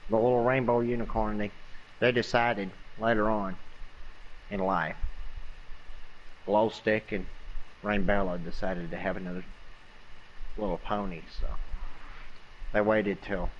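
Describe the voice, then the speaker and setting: A man telling an improvised comic bedtime story in a casual, halting way, often repeating and rephrasing himself. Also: lowish voice, little pitch movement. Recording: dull lo-fi audio with faint noise.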